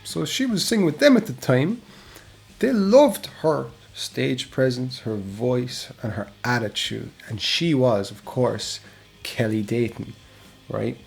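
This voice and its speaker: A man's voice: medium pitch, animated, takes it slowly, gravelly. A man hosting a music history show, narrating a band's story in a casual, chatty way and often tagging on "right?". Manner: chatty, keeps adding "right?". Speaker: music history channel host.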